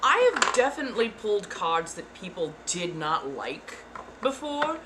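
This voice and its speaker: A woman's voice: medium pitch, big pitch swings, a little slow, background noise. Woman in a put-on persona accent giving tarot readings. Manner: theatrical, calls listeners darling. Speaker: tarot reader and livestream host. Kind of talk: live-streamed tarot card readings.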